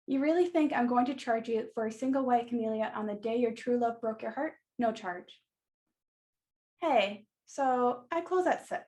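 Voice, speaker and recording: A woman's voice: fairly high pitch, moderate pace, a little mellow, close to an everyday voice. A woman acting in a radio play as a friendly, flirtatious florist, casual, wry and sympathetic. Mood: lightly flirtatious.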